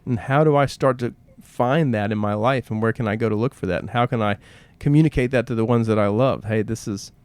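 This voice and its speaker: A man's voice: medium pitch, fairly quick, few pauses, darkish tone, clear, resonant tone, no echo. A man co-hosting a podcast, speaking in an earnest, reflective conversational style and often voicing questions and quotes. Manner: earnest, poses rhetorical questions, acts out quotes. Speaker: podcast co-host.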